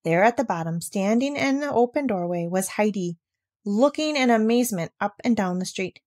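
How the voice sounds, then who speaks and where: A woman narrating a children's storybook aloud as an audiobook, with expressive delivery. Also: mid-range voice, moderate pace, no echo, clean studio sound.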